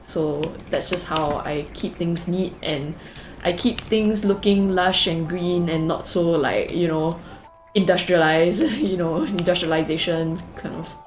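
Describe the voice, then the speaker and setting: A young woman with a Singaporean English accent talks her way through a cozy game tour in a low-pitched voice. Her delivery is loose and chatty, full of fillers and small asides. Faint music plays quietly behind her. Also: measured pace, rough recording. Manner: chatty, rambling, many fillers and "like"s. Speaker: gaming video creator. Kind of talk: casual game tour narration.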